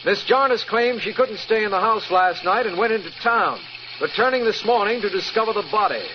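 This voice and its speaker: An actor playing an earnest, businesslike district attorney in an old-time radio drama, formal and often incredulous. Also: male voice, high voice, expressive, normal speed. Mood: puzzled. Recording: dull, faintly noisy vintage radio recording.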